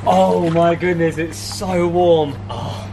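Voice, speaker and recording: A British man in his thirties chatting casually and excitably as a family vlog host, often repeating himself to his child and the viewers. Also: a little high, takes it slowly. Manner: excitable, repeats instructions and exclamations. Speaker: family vlogger dad and host. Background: prominent music.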